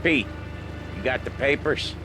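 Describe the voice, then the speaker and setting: An actor playing an unworried American mobster in a game cutscene, casual and reassuring. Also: male voice, medium pitch, a little slow, music in the background, lightly acted. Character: easygoing, unworried male mobster bluffing through a job. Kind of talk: cinematic game dialogue acting.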